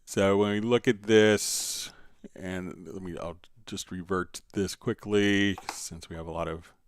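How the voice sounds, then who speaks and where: A man hosting a casual live software tutorial, answering viewer questions in a conversational, step-by-step way. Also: low voice, steady tone, unhurried, clean tone, no echo. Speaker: livestream host and software instructor.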